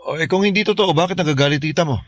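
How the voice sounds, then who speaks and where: A man's voice: fairly high pitch, very fast, dry recording, studio quality, close to an everyday voice. An actor playing a stern, exasperated middle-aged father in a Filipino radio drama, scolding and reproachful. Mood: puzzled, irritated.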